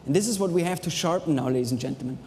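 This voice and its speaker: A man's voice: fairly high pitch, darkish tone, a little hiss or hum. Austrian man presenting in a big hall. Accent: American-learned English over an Austrian base. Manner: showman's pauses, chopped phrases, dry jokes. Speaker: wine tasting host. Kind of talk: live presentation to an audience. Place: large hall with a live audience. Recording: reverberant hall, faint ambience.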